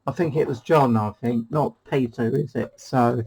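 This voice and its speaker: A man's voice: mid-range voice, normal speed, darkish tone, breathy edge, rough recording. A British man hosting a CB radio demo, chatting casually in a hesitant, rambling way. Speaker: CB radio hobbyist and channel host.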